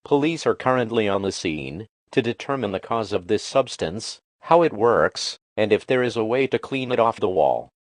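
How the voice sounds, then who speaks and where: A man delivering a formal, official emergency broadcast in a deliberate, impersonal manner. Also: on the low side, lively intonation, no room sound, clean studio sound, lightly acted. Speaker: emergency alert announcer.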